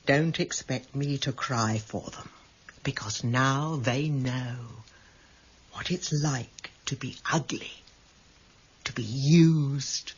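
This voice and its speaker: An actress playing a bitter, coldly controlled female kidnapper with a husky voice, venomous and defiant, in a radio drama. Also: very deep voice, lo-fi sound, slight background noise. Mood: cold contempt. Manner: venomous, deliberate. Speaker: actor in a radio crime drama. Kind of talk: 1990s British radio drama acting.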